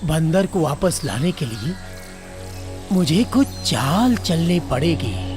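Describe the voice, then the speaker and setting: A man's voice: a little high, big pitch swings, takes it slowly, music in the background. A man voicing a scheming, henpecked male crocodile in a children's cartoon story, with a coaxing, theatrical manner.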